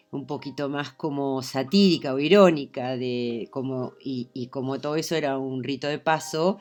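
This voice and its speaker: Low-voiced woman teaching literature. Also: a little mellow. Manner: hesitant, many fillers and restarts. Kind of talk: online lecture.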